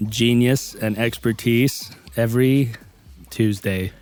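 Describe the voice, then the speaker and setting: A raspy-voiced man from Utah hosting a casual comedy podcast with friends, deadpan and playfully clueless. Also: mid-range voice, slow pace, noisy background. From American Fork, Utah. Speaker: podcast host.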